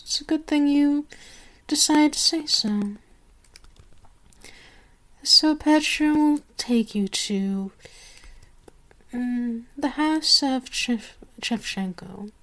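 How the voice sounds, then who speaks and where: A woman voicing a haughty, commanding vampire queen in an ASMR roleplay, speaking with deliberate, condescending authority. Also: on the high side, a bit bright. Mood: commanding, indulgent. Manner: slow, imperious, deliberate, condescending. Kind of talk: ASMR roleplay monologue to the listener.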